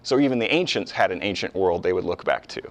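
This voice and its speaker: Guy with a lowish voice teaching ancient history, chatty and explainy, leans on key words. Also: big pitch swings, fast. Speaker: history lecturer, channel host. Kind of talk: informal lecture on ancient history.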